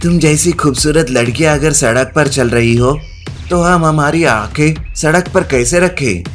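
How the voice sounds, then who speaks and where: A man's voice: fairly high pitch, measured pace, soft background music, voice pushed up, lightly acted. A man voicing a spoiled, arrogant young rich man in a comic storybook tale, mocking and rude. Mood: cocky. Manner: mocking, insolent. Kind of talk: acted dialogue in a moral comedy story.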